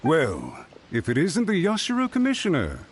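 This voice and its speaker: An actor playing a smug, confrontational rival official in an anime-style game, mock-polite and insinuating. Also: male voice, on the high side, wildly swooping pitch, normal speed, slight background noise. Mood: smug suspicion.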